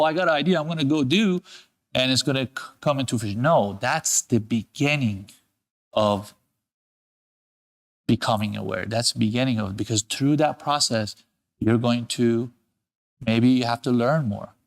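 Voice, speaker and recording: A man's voice: medium pitch, normal speed. Emphatic man coaching. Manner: earnest, repetitive, emphatic with rising bursts. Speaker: host and self-development coach. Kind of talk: motivational talk. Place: studio.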